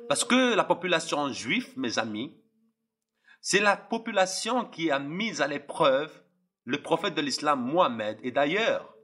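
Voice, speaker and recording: A young man from Algeria delivers a fervent testimony, circling back on his phrases and addressing the listener head-on. His voice sits somewhat high, with a tense, husky edge. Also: sing-song, measured pace, a bit bright. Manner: impassioned, repetitive, addresses listeners directly. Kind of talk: preaching testimony.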